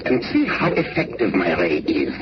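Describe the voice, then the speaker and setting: A gloating masked supervillain sneers and taunts in a theatrical radio-play manner, his voice swooping widely in pitch. The sound is a dulled old 1970s radio recording with clear hiss underneath. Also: wildly swooping pitch, moderate pace, dull audio. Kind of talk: 1970s radio drama acting.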